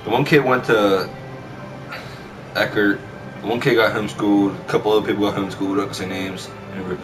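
A man's voice: fairly low pitch, fairly even intonation, fast talker. Brash young man, distant mic over music. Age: teenager or young adult. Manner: brash, sweary, repeats himself. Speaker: vlog host telling school stories. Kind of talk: casual storytelling chat. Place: small bedroom, indoors. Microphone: camera mic at a distance.

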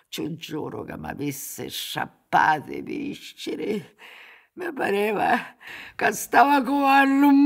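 An actress in her sixties playing an anguished, bitter old Sicilian peasant mother in a radio drama, with a Sicilian accent and halting, dramatic delivery. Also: mid-range voice, gravelly. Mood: tormented. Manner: dramatic, halting, swinging between hushed whispers and cries. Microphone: studio microphone with headphones on a theatre stage.

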